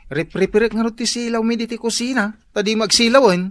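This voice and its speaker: A man's voice: sits high, steady tone, fairly quick, fairly bright tone, dry recording. A man playing an eager, earnest young student handyman with an Ilocano Filipino accent in a comedy radio drama. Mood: cheerful, busy.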